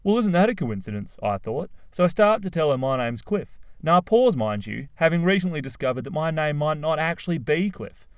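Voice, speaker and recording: An excitable young poet, pitched well above the reader's own voice, loud and swooping as he gushes through his tale. A man puts him on in a dry, close recording. He sounds dreamy and full of himself. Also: a little fast, hardly any pauses, clear, resonant tone, no room sound. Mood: excited. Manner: gushing, animated storytelling.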